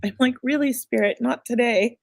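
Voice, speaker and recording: A woman hosting a live psychic reading, chatty and reassuring, going a bit hoarse as she loses her voice. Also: on the high side, moderate pace, no room sound, clean studio sound. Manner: chatty, reassuring, many filler words. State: losing her voice. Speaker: psychic medium host.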